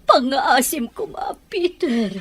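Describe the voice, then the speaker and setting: A Filipino woman playing an anxious widowed mother in an audio drama, imploring and emotional, with a husky, breathy voice. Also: sits high, big pitch swings, takes it slowly, heavily acted. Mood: pleading, worried. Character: anxious, pleading widowed mother protecting her grown son. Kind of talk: Ilocano radio-style audio drama acting.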